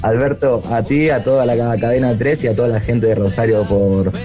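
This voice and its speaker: A man with an Argentine accent, a songwriter guest speaking by phone, talking haltingly with many fillers and restarts. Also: mid-range voice, steady tone, warm tone, faint music behind. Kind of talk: phone interview.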